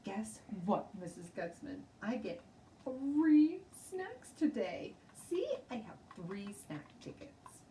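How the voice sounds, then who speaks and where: A woman acts out a little kindergarten girl from a storybook, loud, excitable and always defending herself in childish grammar. Her pitch jumps high and swings around a lot. She sounds a bit far from the mic in a roomy space. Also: slow pace. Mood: excited. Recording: roomy, distant mic, faint noise.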